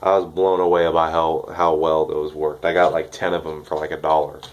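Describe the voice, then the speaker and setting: A man's voice: rumbling low, little pitch movement, moderate pace, highs cut off. A young man, congested from a cold, chatting casually and cheerfully in a rambling vlog with lots of fillers. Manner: rambling, upbeat, filler-heavy. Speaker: vlogger reviewing dip tobacco.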